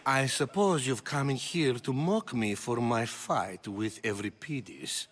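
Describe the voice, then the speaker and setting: An actor playing a vain, theatrical ancient Greek playwright in a game cutscene, witty and grandiloquent. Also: male voice, medium pitch, slow pace, faint music behind, natural voice. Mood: wry. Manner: self-mocking, grandiloquent, witty. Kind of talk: video game cutscene acting.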